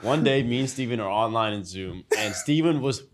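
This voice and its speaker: A man's voice: mid-range voice, lively intonation, brisk, noisy background. A young man whose first language is Russian, a podcast guest answering in a laid-back way that trails off. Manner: laid-back, trails off, bursts into laughter telling stories. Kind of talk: casual podcast interview.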